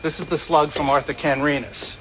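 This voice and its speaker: A man's voice: a little high, fairly even intonation, fairly quick, background noise, natural voice. A man playing a skeptical police ballistics doctor in a radio crime drama, methodical and dryly sarcastic.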